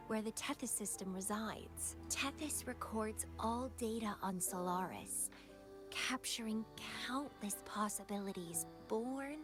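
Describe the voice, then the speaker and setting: A woman's voice: mid-range voice, lightly acted. An actress voicing a playful, dangerously devoted young woman rival in a video game, with a teasing, languid, dramatic delivery. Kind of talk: scripted video game voice acting.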